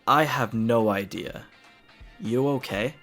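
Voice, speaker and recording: A man's voice: medium pitch, slow pace, a bit bright, faint music behind. A man playing a startled young protagonist in a fan audio drama, talking in short, swearing bursts. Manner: terse, swearing, reactive.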